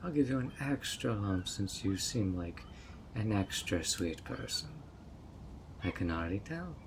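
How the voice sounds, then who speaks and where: A man's voice: lowish voice, expressive, measured pace, background noise. A man voicing a sweet but obsessive male fairy who coaxes a guest in an audio roleplay, with a honeyed, playfully sly manner. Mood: sweetly scheming. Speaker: fairy host of a tea party.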